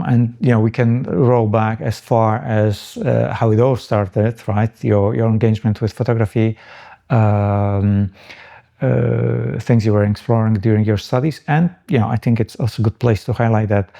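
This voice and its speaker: A man's voice: fairly low pitch, vocal fry, dark tone. A man hosting a podcast interview, asking long questions hesitantly with many fillers and restarts. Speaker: podcast host. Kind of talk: casual interview chat.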